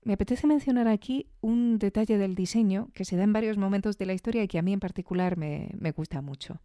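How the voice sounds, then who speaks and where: A woman's voice: mid-range voice, quick pace, dark tone, no echo, clean studio sound. A woman with a Spain Spanish accent hosting a podcast, reviewing audio fiction in an informal, reflective, conversational way. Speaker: podcast host.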